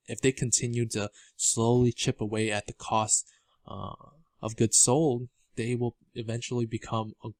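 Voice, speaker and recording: A man giving informal financial commentary as a video host, halting and often repeating words as he explains. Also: lowish voice, no echo. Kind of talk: informal financial commentary over documents on screen.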